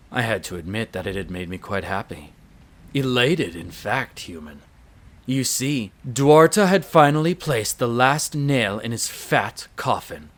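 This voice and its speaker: A man's voice: mid-range voice, close to an everyday voice. A man playing a haughty, urbane vampire master in an audio roleplay, condescending and coolly menacing, speaking with theatrical relish. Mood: scornful relish. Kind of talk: dramatic audio roleplay narration.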